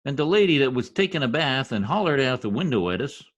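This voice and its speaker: Radio comedy lead, male actor: blustering middle-aged American husband, folksy and slangy, very lively intonation. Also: medium pitch, quick pace, muffled recording. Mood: self-important. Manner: slangy, pompous, mangles words. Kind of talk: old-time radio comedy acting.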